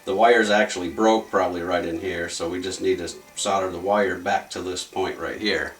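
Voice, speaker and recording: A man's voice: sits low, steady tone, moderate pace, faint music behind. A man hosting a casual repair video, talking through the job step by step in a plain-spoken way. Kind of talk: casual hands-on repair walkthrough.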